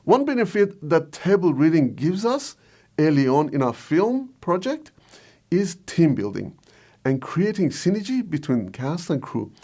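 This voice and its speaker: A man's voice: fairly high pitch, big pitch swings, a little slow, dark tone. A man presenting a scripted explainer as a video host and filmmaker. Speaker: video host, short film director.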